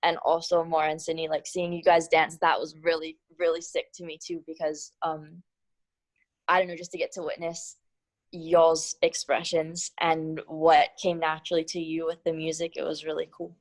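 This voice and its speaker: A woman dancer on a video call, talking softly and a little nervously in casual slang. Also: low-pitched, completely flat delivery, normal speed.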